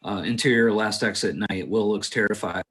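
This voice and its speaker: A man's voice: on the low side, fairly even intonation, moderate pace, a bit bright. A man narrating stage directions from a script at a table read.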